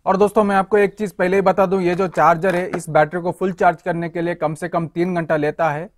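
A man's voice: sits high, level tone, fairly quick, a little hiss or hum. A young man giving a hands-on walkthrough of a tool, explaining each part to viewers he greets as friends. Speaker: tool reviewer.

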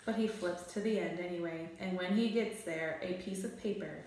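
A woman's voice: fairly low pitch, normal speed, a little mellow, very echoey. A young adult woman narrating a children's book aloud in a small bare room. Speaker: storybook reader.

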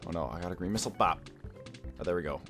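A young man chatting casually through a racing game, halting and often repeating his phrases. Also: low voice, a bit bright, faint music behind. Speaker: gaming video host. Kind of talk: casual banter while playing a kart racing game.

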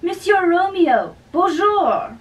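A woman playing an excitable, teasing young man in an amateur play reading, exclaiming theatrically into a distant microphone. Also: extremely high pitch, slow, voice pushed up, full character voice. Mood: excited greeting.

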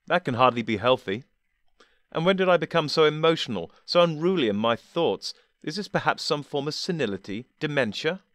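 A man voicing a cool, dispassionate older man who speaks calmly and dryly, with gentle teasing. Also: fairly high pitch, fairly quick, no echo, voice pushed up. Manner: calm, dry, gently teasing.

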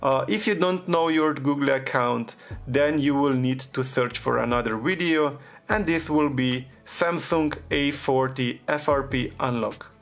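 Gravelly-voiced man explaining a phone fix. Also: medium pitch, measured pace, a bit bright. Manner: plain, patient instructions with frequent hesitations. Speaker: phone repair tutorial host. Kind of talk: step-by-step how-to narration.

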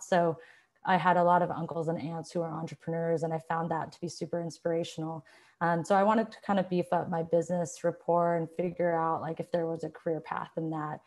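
A woman's voice: fairly low pitch, darkish tone. A woman in her thirties talking on a video call panel in a casual, chatty way, through earphones from a room at home. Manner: chatty, lots of "like" and "you know". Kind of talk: casual career talk on a panel. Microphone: earphone headset mic on a video call.

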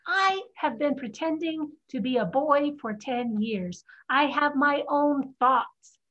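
A woman's voice: high-pitched, slow, fairly bright tone. A woman playing a defiant fifteen-year-old girl raised as a boy, in an earnest staged play reading. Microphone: video call. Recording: dull video call audio.

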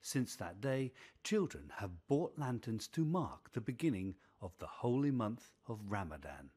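A man's voice: on the low side, big pitch swings, a little slow, darkish tone, husky. A man narrating a scripted storybook for children.

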